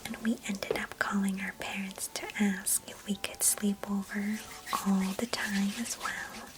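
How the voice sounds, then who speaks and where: This is a woman acting as a chatty, affectionate female cousin in an ASMR roleplay. She speaks entirely in a breathy whisper. Her delivery is gentle and unhurried, with a nostalgic, fond temper. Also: medium pitch, flat delivery, lightly acted. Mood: wistful, chatty.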